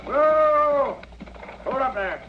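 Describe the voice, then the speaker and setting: An actor playing a scrawny old trail drifter, blustery and sly, with a rural American accent in a radio western. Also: male voice, very high voice, expressive, extremely slow, full character voice. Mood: brash, combative. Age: older. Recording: muffled, noisy old radio recording.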